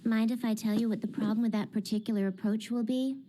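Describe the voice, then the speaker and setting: A woman playing a sharp, pragmatic teenage girl mage in an English anime dub, blunt and lecturing. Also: a little high, fairly even intonation, fast, a little hiss or hum, lightly acted. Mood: exasperated, cool. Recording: dramatic music under the voice.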